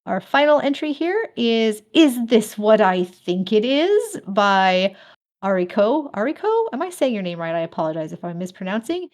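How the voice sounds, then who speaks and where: A woman's voice: mid-range voice, sing-song, normal speed, darkish tone. A woman hosting a fan event, announcing casually in a chatty way and laughing often. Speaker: host of an online fan event.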